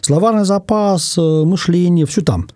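A man from Germany, a guest in an interview, speaking thoughtfully in casual talk and pausing often to find his words. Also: sits high, mellow, no room sound, clean studio sound.

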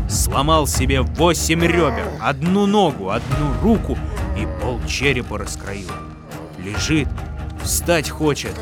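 Expressive male storyteller over loud music. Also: mid-range voice. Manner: expressive, deliberate phrasing. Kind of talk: dramatic folk tale narration.

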